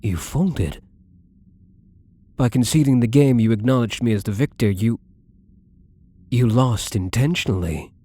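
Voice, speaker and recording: A man playing a wealthy gambler, possessive and lovestruck, adoring yet commanding, in an intimate, breathy ASMR romance roleplay that often drops toward a whisper. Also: medium pitch, a little slow, long pauses, mellow, lightly acted. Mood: confused, realizing.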